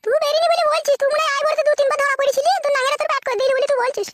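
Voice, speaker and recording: Squeaky, shrill cartoon village wife, ranting. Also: steady tone, heavy background noise. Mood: furious, taunting. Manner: ranting, insulting, rapid-fire quarrelling. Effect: pitched-up, sped-up voice. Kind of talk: comedy cartoon dubbing with altered high-pitched voice.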